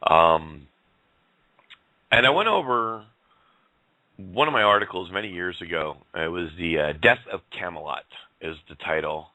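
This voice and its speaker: A male host of a casual call-in talk show, rambling in a folksy way and getting hoarse. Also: low-pitched, expressive, a little slow, long pauses, pushed and bright, no echo, rough recording. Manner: rambling, folksy, laughs often.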